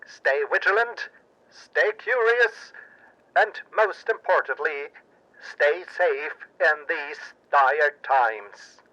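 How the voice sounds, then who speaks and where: Paranoid patriotic radio anchor, male actor, deliberate and sharp-edged, portentous storytelling, dull broadcast sound. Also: on the high side, lively intonation, full character voice. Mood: grave, earnest. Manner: dramatic, portentous delivery. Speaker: news anchor, narrator. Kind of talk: pulp radio drama news report.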